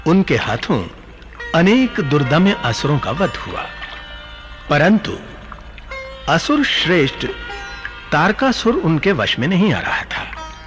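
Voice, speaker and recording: An actor playing a learned sage who tells sacred legends in a deliberate, expressive radio drama manner. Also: male voice, a little high. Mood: emphatic. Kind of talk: mythological radio drama storytelling. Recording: studio radio play with music behind.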